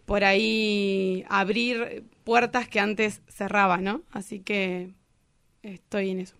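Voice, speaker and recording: A woman's voice: medium pitch, little pitch movement, takes it slowly, vocal fry, fairly bright tone, clear, resonant tone, no room sound. A woman from Argentina with an Argentine accent, chatting informally and jokingly as an interview guest. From Chubut, Argentina. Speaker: guest, cumbia band singer. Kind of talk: casual interview chat.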